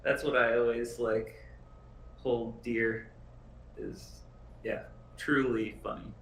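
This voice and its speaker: A man's voice: medium pitch, little pitch movement, slow pace, a bit bright. A male game developer chatting casually in an interview. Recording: echoey room, distant mic.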